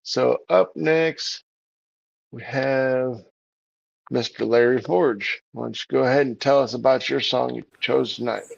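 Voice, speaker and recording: A man's voice: mid-range voice, unhurried, gravelly, dry recording, muffled recording. A man hosting a music livestream in a casual, rambling way, with many fillers and restarts. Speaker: livestream show host.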